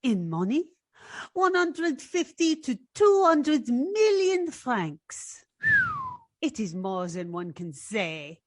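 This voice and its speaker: A woman acts out a defiant young femme fatale. She is haughty and emphatic, her pitch swinging widely, in a dry recording of an old radio detective play. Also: very animated, takes it slowly, no room sound, exaggerated voice. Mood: proud. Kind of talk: old-time radio detective drama acting.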